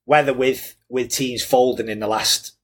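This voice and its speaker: Podcast host guy, mid voice, lively storyteller, laughs a lot. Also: mid-range voice, moderate pace, husky. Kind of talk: casual podcast chat.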